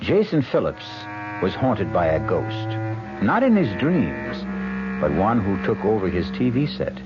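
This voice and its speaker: Male host-narrator, dramatic and lively, muffled vintage radio recording. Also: lowish voice, a little slow. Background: loud music.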